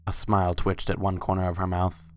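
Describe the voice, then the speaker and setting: Woman narrating a story, really deep voice, barely moves up or down. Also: completely flat delivery, brisk. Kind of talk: scripted story narration, dramatic reading.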